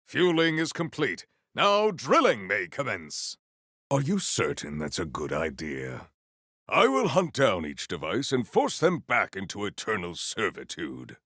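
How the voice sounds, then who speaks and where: An actor playing a grave, honour-bound warrior with wry formality and archaic, theatrical diction in a video game. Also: male voice, lowish voice, huge pitch swings, a little slow, fairly bright tone, dry recording, studio quality, heavily acted. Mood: determined, solemn. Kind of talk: video game character voice lines.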